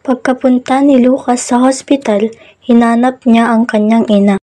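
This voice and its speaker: A woman's voice: on the high side, little pitch movement, normal speed, warm tone. A woman narrating a scripted dramatic story for a puppet show.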